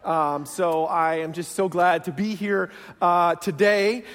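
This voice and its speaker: A man's voice: high-pitched, steady tone, takes it slowly, fairly bright tone, soft background music. A man with a Canadian accent, preaching as a guest pastor in a self-deprecating, joking way. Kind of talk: humorous sermon. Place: large church sanctuary. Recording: long church hall reverb.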